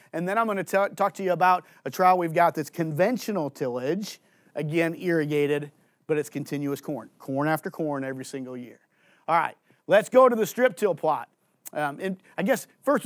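A man in his forties, a farm agronomist, giving an energetic conference presentation on a studio stage through a headset mic, asking and answering his own questions. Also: a little high, no echo. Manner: gestures and walks on stage while talking. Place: indoor studio stage with green curtains.

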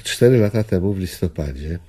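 An actor playing a weary, melancholy old man in a radio drama, with a husky voice. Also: low voice, animated, brisk, dark tone. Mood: matter-of-fact. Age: older.

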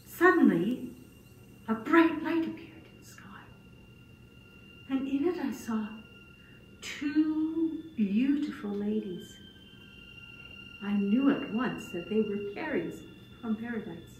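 A woman's voice: fairly high pitch, expressive, darkish tone. Echoey, fretful old grass cutter voiced by a woman. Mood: wondering, earnest. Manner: slow, dramatic, hesitant telling with wailing exclamations. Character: humble, fretful old male grass cutter, puppet storyteller. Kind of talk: puppet-show storytelling. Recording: distant, echoey room with faint noise.

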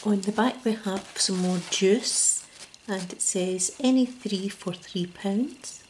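A Scottish woman speaking ASMR in a soft, gentle whisper close to the mic, at a table indoors. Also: mid-range voice, takes it slowly, slight background noise, poor audio. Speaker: ASMR creator.

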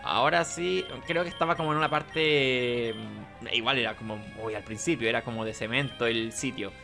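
A Chilean man hosts a casual gaming playthrough in a middling-pitched voice. He talks to himself hesitantly and repeats words, with game music playing behind him. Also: moderate pace, gravelly, sharp tone. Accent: Chilean Spanish. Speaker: gaming video host. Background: video game music behind the voice.

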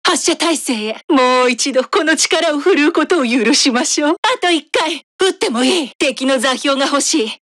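An imperious woman who rules a satellite superweapon in a video game. Her voice sits fairly high and swings widely in pitch, captured close and dry. She is haughty and theatrical, giving orders with grandiose self-worship. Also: expressive, normal speed, hardly any pauses, a bit bright, no room sound. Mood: haughty, commanding. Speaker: military satellite weapon operator. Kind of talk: dramatic Japanese video game voice acting.

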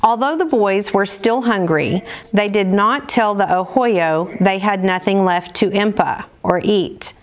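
A middle-aged woman with an American accent reads aloud as a storyteller for children, in a measured, instructional manner with careful enunciation. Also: medium pitch. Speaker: storyteller, school program director. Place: indoors, seated at table before dark curtain.

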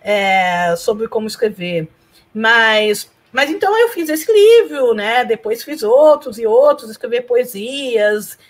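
A woman's voice: on the high side, slow pace. Chatty woman on a video call. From Argentina. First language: Spanish. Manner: chatty, laughs often, frequent "né?" tags. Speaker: guest, professor and blogger. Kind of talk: casual interview chat. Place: home room.

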